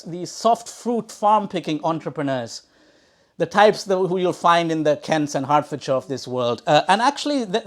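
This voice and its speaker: A man from Sri Lanka hosting a book talk, speaking in an erudite, wordy way with halting restarts and repeated words. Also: high-pitched. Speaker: event host introducing an author. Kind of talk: academic introductory remarks at a live book talk. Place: talk in a bookshop before an audience.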